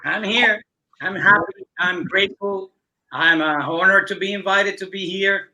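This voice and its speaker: Male guest, a touch high and bright, earnest and halting, heard on a video call. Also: low-quality recording. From Ecuador, raised in Cuba. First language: Spanish. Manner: earnest, halting with many fillers. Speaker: guest musician. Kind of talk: conversational interview answer.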